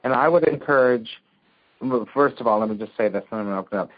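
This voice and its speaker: A man leading a spiritual discussion group, speaking in a gentle, encouraging way and often murmuring agreement. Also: mid-range voice, fast. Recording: dull lo-fi sound.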